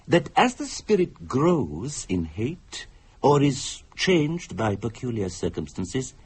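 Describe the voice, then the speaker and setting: An actor playing a grave, middle-aged male medium from India, speaking formally and deliberately in a radio drama. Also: on the high side, breathy edge, full character voice. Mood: grave, ominous.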